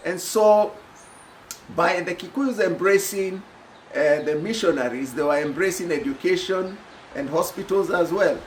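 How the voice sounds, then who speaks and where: A middle-aged Kenyan man with a Kenyan accent giving thoughtful personal commentary, pausing often with "uh". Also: sits high, slight background noise, poor audio. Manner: thoughtful, reflective, many pauses with "uh".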